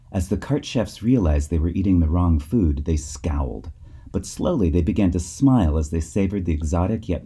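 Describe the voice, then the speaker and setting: Expressive man reading a storybook, very animated. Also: sits low. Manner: expressive, theatrical emphasis. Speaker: author reading own story. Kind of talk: scripted storybook narration for children.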